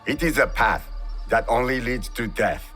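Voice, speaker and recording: An actor playing a grave older Japanese warrior mentor in a video game cutscene, speaking in a measured, cryptic, solemn way. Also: male voice, medium pitch, pushed and bright, close to an everyday voice. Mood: grave warning. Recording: music behind the dialogue.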